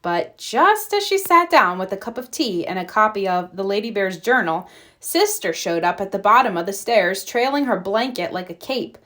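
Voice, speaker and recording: A woman reads a children's storybook aloud. Her voice sits at a medium pitch, and she narrates as a storyteller would for young listeners. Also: expressive, normal speed, a bit bright. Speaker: storybook reader.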